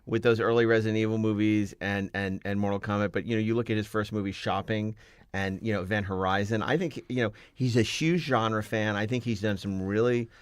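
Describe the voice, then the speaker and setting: A man's voice: fairly low pitch, steady tone, a little fast, clear, resonant tone, no room sound. Dry-sounding male podcast host. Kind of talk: casual podcast panel talk.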